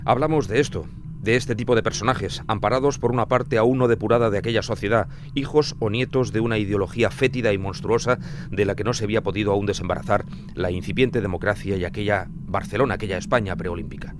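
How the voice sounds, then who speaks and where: A man's voice: on the low side, fast talker, noisy background. A middle-aged man from Spain with a Spanish accent, narrating scripted true crime as a journalist in dramatic, literary phrasing.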